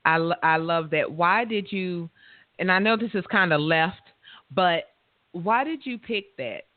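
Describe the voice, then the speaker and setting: Loud-voiced woman on a phone line. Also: on the low side, animated, dry recording. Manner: frank, self-assured, slow-paced. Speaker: radio show host. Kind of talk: casual interview chat. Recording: dry, tinny phone-line sound.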